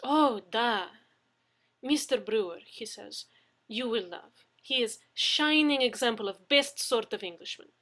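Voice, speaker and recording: A woman acts out a demure young Russian lady from a storybook, high and bright in voice. She pleads and flatters theatrically in broken, Russian-accented English. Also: a little slow. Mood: effusive.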